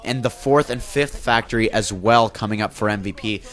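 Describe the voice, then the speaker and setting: This is a young man with a congested, nasal voice, a little on the low side, calling a video game match on his own. He talks loosely, stacking fillers and correcting himself mid-sentence as he tracks the play. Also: moderate pace, fairly bright tone. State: congested from a flu, nasal, coughing. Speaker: esports caster. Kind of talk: solo play-by-play game commentary.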